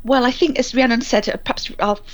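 A woman's voice: on the high side, fairly even intonation, fairly quick. A woman speaking as an interviewed education researcher, explaining conversationally with anecdotes and repeating words for emphasis.

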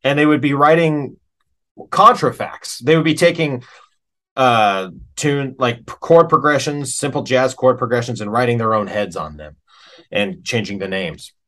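A middle-aged American man talking casually as an interview guest, telling stories like a working musician, with pauses and emphasis on key words. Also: mid-range voice, fairly bright tone. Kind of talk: casual conversational storytelling.

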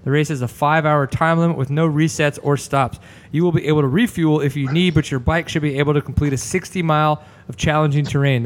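A man in his thirties with a Texan accent, hosting a casual podcast chat, laid-back and jokey, swearing freely. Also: fairly high pitch. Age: 30s. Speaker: podcast host.

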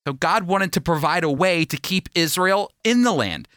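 A man's voice: high voice, expressive, normal speed, fairly bright tone, no echo, studio quality. An American man hosting a radio Bible teaching program, speaking in an earnest, emphatic, conversational way.

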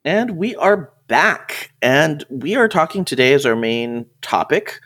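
A man's voice: on the high side, big pitch swings, a little slow, a bit bright. A man co-hosting a podcast in casual conversation, often repeating words and restarting as he finds his phrasing. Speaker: podcast co-host.

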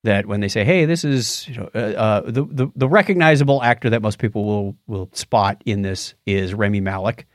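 A man's voice: on the low side, normal speed, hardly any pauses, no room sound, clean studio sound. A man co-hosting a podcast, chatting casually about shows and retelling things in a conversational way with quoted asides. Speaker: podcast co-host. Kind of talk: casual chat reviewing TV shows.

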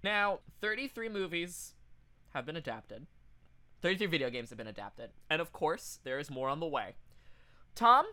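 A man's voice: on the high side, very animated, normal speed, pushed and bright, no room sound. A man co-hosting a podcast in casual comedic chat, profane and riffing as he goes. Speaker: podcast co-host.

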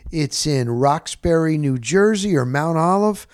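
A middle-aged man telling stories casually as a sportscaster, rambling and candid, with animated, salty talk and repeated phrases for emphasis. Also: on the high side, measured pace, clear, resonant tone, no echo, studio quality. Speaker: sportscaster and podcast host.

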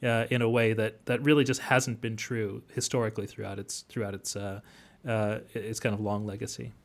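A man speaking as an academic guest in an interview, explaining at length in a halting, thoughtful way. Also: fairly low pitch, level tone, creaky. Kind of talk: interview conversation.

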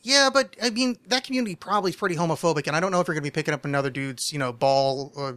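Man, from New Jersey, podcast host, crude rambling banter, high-ish bright voice, dry mic. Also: no room sound. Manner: rambling, self-mocking, quick restarts.

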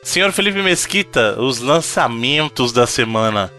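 A man's voice: medium pitch, lively intonation, unhurried, sharp tone, soft background music. A man hosting a podcast in a playful, mock-formal teasing manner. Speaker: podcast host. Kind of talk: casual podcast banter.

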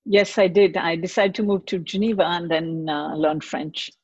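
A woman's voice: fairly low pitch, fairly even intonation, normal speed, husky, no echo, lo-fi sound. A woman from India, a podcast guest chatting casually about her own story.

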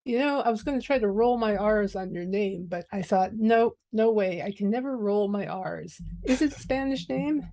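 A woman hosts a podcast, chatting with a guest and reading her own sponsor spots. Her voice is warm and mellow, and her manner is friendly and easygoing, with ready laughter. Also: mid-range voice, lively intonation, normal speed, few pauses, low-quality recording. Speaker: podcast host. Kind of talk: podcast interview and ad read.

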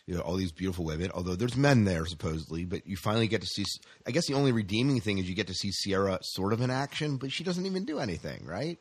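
A man co-hosting a casual podcast chat about science fiction, halting and repeating his phrases as he steers the talk. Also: low-pitched, lively intonation, breathy edge. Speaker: podcast co-host.

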